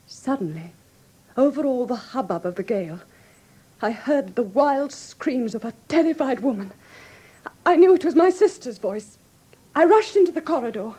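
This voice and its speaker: A genteel, anxious young Englishwoman in a classic radio play. Her voice sits fairly high, with a very mellow tone and a husky, breathy edge. She speaks earnest Received Pronunciation with careful diction. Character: refined, anxious young woman recounting her sister's death. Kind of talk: classic British radio drama acting.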